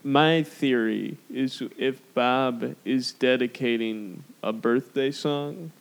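A man's voice: mid-range voice, slow, vocal fry, clean tone. A man co-hosting a casual podcast chat. He is losing his voice, laughs often, and reads review quotes aloud. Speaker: podcast co-host.

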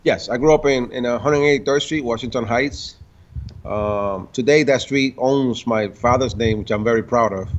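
A man in his fifties, born in the Dominican Republic and raised in Puerto Rico, talking as a car-builder guest in a casual interview, halting and full of restarts. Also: mid-range voice. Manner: halting, many restarts, laughs between stories. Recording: dull lo-fi sound.

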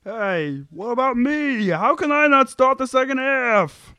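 A man's voice: extremely high pitch, lively intonation, a little slow, sharp tone, clear, resonant tone, no room sound, full character voice. A man playing an insecure, whiny sitcom husband in an amateur table read, stammering and defensive. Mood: eager, put out.

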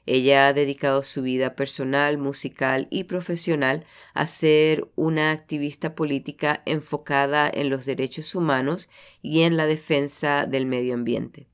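A radio host introducing the guest in a clear announcer delivery. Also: medium pitch, fairly even intonation, moderate pace, hardly any pauses.

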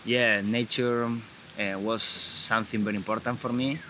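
A man from Galicia in Spain with a Spanish accent, talking in a casual conversation in halting English and often restarting his sentences. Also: fairly low pitch, little pitch movement, pushed and bright, slight background noise. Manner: halting, searching for words, frequent restarts.

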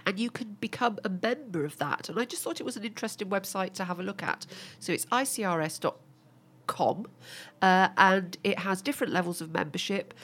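A woman's voice: mid-range voice, a little fast, a bit bright, husky. A woman with a cold, sounding bunged up, chatting as co-host and reading through event listings. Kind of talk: casual business podcast chat.

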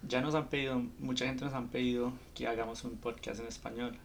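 A man's voice: mid-range voice, level tone, a little fast, gravelly, a little hiss or hum. A man in his early thirties from Colombia, with a Colombian accent, talking casually as a podcast co-host.

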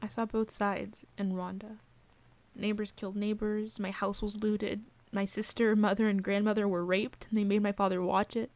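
A woman's voice: mid-range voice, flat delivery, moderate pace, darkish tone, no echo, lightly acted. A woman playing an earnest, haunted documentary maker in a radio play, asking short, insistent questions. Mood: haunted, grave.

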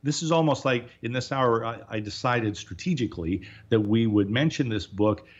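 A man hosting a talk radio show, introducing his guest in a measured, formal and wordy way. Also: mid-range voice, highs cut off. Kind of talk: radio interview.

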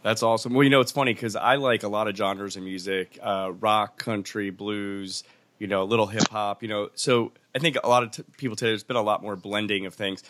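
A man's voice: lowish voice, fairly even intonation, fairly quick, a bit bright. A man hosting a podcast, chatting casually and hesitantly with many fillers. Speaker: podcast host. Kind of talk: casual interview chat.

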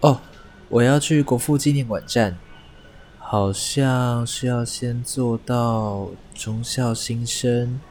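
A man's voice: mid-range voice, fairly even intonation, takes it slowly, darkish tone, slight background noise, lightly acted. An actor playing a cute, muddled young male dentist in a radio drama, boyish and stammering when flustered, with a Taiwanese Mandarin accent. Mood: puzzled, shy. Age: young adult.

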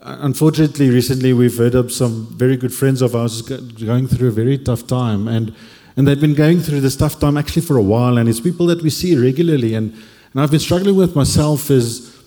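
Reflective man, mellow voice. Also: medium pitch, brisk, hardly any pauses. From Cape Town, South Africa. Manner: reflective, hesitant, many fillers. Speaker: panel guest. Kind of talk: candid personal sharing on a church panel. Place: large church hall. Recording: long hall reverb.

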